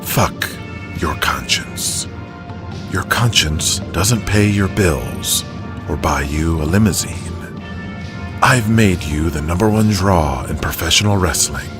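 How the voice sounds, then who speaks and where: A man playing a shrewd, commanding wrestling promoter who talks deliberately and persuasively in an audio drama. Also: low-pitched, music in the background. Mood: coaxing, manipulative.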